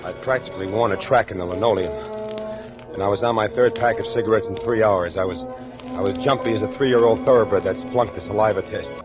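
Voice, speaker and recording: An actor playing a hard-boiled, callous robber in a 1940s radio crime drama, with slangy, defensive talk. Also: male voice, on the low side, brisk, lo-fi sound, natural voice. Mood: restless, sour. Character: hard-boiled, jumpy male robber who killed a man. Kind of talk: 1940s radio crime drama acting, first-person narration and dialogue. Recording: old 1940s radio recording, faint music.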